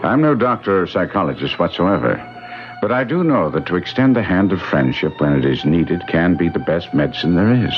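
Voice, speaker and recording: A man playing a formal, inquisitive and protective gentleman lawyer who also narrates, in old-time radio drama style. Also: low-pitched, sing-song, fairly quick. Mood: measured narrating. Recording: muffled vintage radio recording with music.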